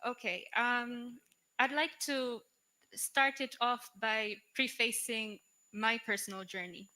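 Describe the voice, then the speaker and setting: A woman from Tanzania, speaking on a conference panel in a measured, steady way. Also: a little high. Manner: measured, steady, cites statistics. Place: conference hall.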